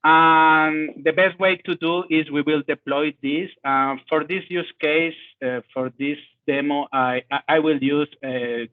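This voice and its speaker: Halting man on a tinny call. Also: mid-range voice. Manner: halting, non-native phrasing. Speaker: product manager presenting a demo. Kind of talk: live technical demo. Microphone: video call. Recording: tinny phone-like call line.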